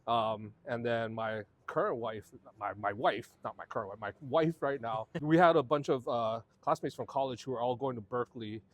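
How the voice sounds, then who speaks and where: A man's voice: medium pitch, normal speed, few pauses. A man, a podcast guest telling his life story in a loose, rambling way with plenty of fillers. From Hawaii. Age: 40s. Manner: relaxed, rambling storytelling with many fillers and restarts. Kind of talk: casual conversational interview.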